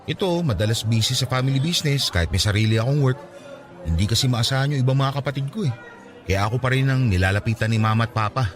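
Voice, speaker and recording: A man with a Filipino accent playing a cocky but caring young best friend in a radio drama, bantering and expressive. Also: mid-range voice, fast, soft background music, lightly acted. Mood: resigned.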